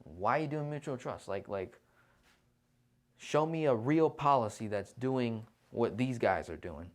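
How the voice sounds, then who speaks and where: A man's voice: medium pitch, lively intonation, unhurried. A man hosting a casual livestream finance Q&A, talking persuasively and often checking in with listeners. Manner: conversational, persuasive, often checks in with "right?" and "okay?". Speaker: financial Q&A host.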